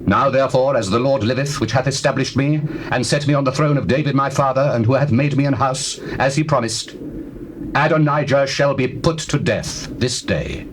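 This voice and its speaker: A man's voice: mid-range voice, natural voice. Man playing a stern, commanding young king. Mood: indignant, wrathful. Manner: regal, forceful, measured. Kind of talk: dramatized scripture, classical stage acting. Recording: noisy, hissy old cassette tape.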